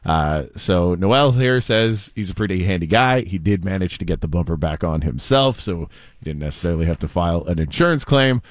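A man's voice: low voice, expressive, fairly quick, dry recording, studio quality. A man co-hosting a talk show, retelling offbeat news in a casual, jokey way. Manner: chatty, jokey storyteller. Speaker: talk show co-host telling news stories.

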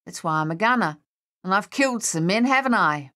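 A woman's voice: mid-range voice, lively intonation, brisk, a bit bright, lightly acted. A woman voicing a young Australian male soldier in an audiobook, shell-shocked and grieving, speaking in an anguished, insistent ramble. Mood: bitter, unhinged. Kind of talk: audiobook character voice.

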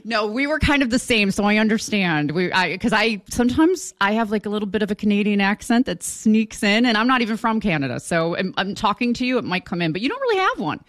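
A woman's voice: medium pitch, big pitch swings, fast talker, hardly any pauses, a bit bright, dry recording, clean studio sound. A woman from Buffalo, New York, hosting an interview, chatty and laughing with lots of "you know" fillers. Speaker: interview host. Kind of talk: casual interview chat.

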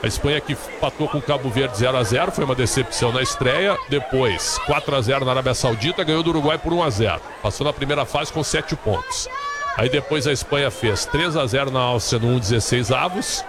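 A man's voice: mid-range voice, brisk. A Brazilian man working as a sports broadcast commentator, giving live, unscripted running commentary with frequent tag questions. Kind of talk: live ad-libbed commentary on a team leaving its hotel. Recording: noisy urban crowd ambience. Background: loud crowd outdoors.

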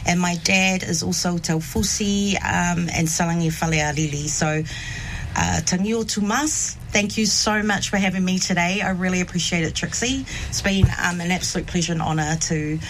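Relaxed woman with a lowish voice. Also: normal speed, a little hiss or hum. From New Zealand. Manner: relaxed, many fillers like "you know" and "um". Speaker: radio guest. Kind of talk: casual radio interview.

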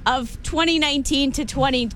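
A woman co-hosting a radio show, chatty with quick reactions and asides. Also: high voice, a bit bright, slight background noise. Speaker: radio co-host. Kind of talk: casual radio banter.

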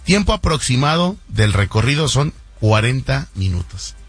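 A man's voice: mid-range voice, big pitch swings, moderate pace, slightly rough, no echo, soft background music. A Mexican man co-hosting sports talk radio, teasing his colleagues and setting up jokes with questions.

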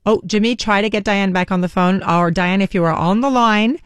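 Radio host, Greek native speaker, chatty, energetic, studio, dry sound. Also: mid-range voice, a little fast, clean tone, dry recording. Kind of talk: live radio interview.

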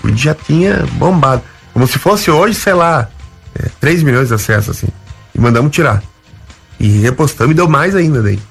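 Gravelly southern Brazilian storyteller man. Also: a little high, moderate pace, soft background music. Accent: Gaúcho Brazilian Portuguese. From Rio Grande do Sul, Brazil. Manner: animated, acts out quoted dialogue. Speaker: interview guest, comedian. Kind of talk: casual storytelling.

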